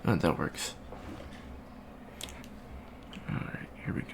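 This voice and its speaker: A man's voice: brisk, lightly acted. A man playing a shy, friendly young avian alien student in an ASMR roleplay, hesitant, stammering and rambling. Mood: awkward, friendly. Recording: faint background noise.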